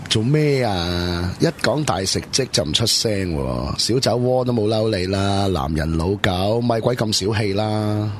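A man's voice: on the low side, expressive, measured pace, few pauses, a little hiss or hum. A man with a Hong Kong Cantonese accent, playing a blunt, mocking young man in a radio drama. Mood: scornful. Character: sarcastic young man teasing friends.

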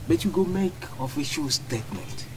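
An actor playing a bullying, mocking police officer, speaking with a Nigerian Pidgin accent. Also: male voice, on the high side, animated, normal speed, darkish tone, slight background noise, lightly acted. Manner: gruff, mocking, threatening. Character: bullying male police officer, middle-aged.

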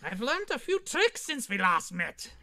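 A woman playing a smug, taunting villain in a theatrical, drawn-out way at a comedy table read. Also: on the high side, sing-song, bright, forceful tone, full character voice. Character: smug taunting villain, an old rival of a cop. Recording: video call.

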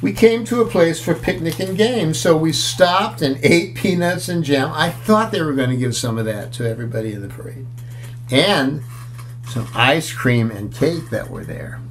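A man in his sixties reading a picture book aloud to children, playful and expressive, with casual asides as he goes. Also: fairly high pitch, normal speed. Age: 60s. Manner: expressive, playful, inviting listeners along. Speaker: children's storyteller. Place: small room at home, indoors.

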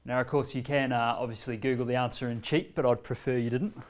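Brief: New man, 30s, easygoing workshop presenter, mid-pitched voice, room mic from across the room. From New Zealand. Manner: relaxed, conversational, many fillers and pauses; standing, walking between screen and laptop. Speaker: presenter demonstrating a learning tool. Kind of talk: informal workshop demonstration. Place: bright medium-sized meeting room with a screen, indoors. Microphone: far camera.

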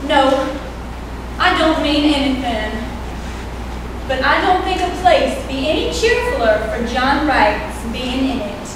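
A woman's voice: high-pitched, expressive, slow. A young woman playing a blunt farm wife. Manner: blunt, defensive, plain-spoken. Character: sharp-tongued loyal farm wife neighbor. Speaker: student actor. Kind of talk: amateur stage acting. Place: high school auditorium stage. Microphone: far camera in the audience. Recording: echoey hall, far off, noisy.

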